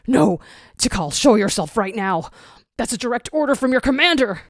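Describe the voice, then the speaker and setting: A woman's voice: on the high side, animated, normal speed, dry recording, clean studio sound, voice pushed up, close to an everyday voice. A woman voicing a proud, tormented male jackal squad commander in a fan fiction story, with a clipped, commanding, theatrical manner. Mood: desperate, commanding.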